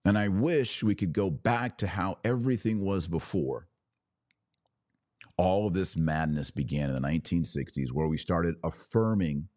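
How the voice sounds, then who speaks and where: A man with a slightly raspy voice, worn from hours of talking, hosting a casual religious commentary podcast in short, emphatic phrases. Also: low voice, a little mellow, no room sound, studio quality. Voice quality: a little raspy. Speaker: podcast host.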